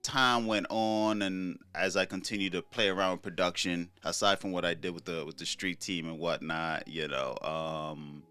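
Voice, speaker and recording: A man's voice: low-pitched, fairly even intonation, normal speed, hardly any pauses, sharp tone, clean tone. A young man from Trinidad hosting a solo podcast, talking in a laid-back, rambling way with many fillers and casual swearing. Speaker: podcast host.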